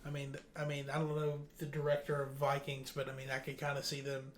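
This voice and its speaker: A man's voice: medium pitch, monotone, fairly quick, slight background noise. A man hosting a podcast, casually presenting and chatting through entertainment news. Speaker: podcast host.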